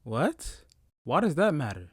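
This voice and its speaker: A man's voice: a little high, huge pitch swings, a little slow, slightly rough, no room sound, clean studio sound. A man hosting a comedic sports recap, narrating in profane slang with a mock-dramatic streak. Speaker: sports recap video host. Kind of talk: scripted comedic sports commentary.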